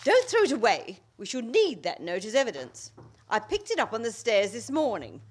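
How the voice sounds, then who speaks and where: A woman's voice: a little high, huge pitch swings, moderate pace, slight background noise. A woman acting a domineering older mother-in-law in a radio play farce, imperious and scornful. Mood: scornful, wrathful.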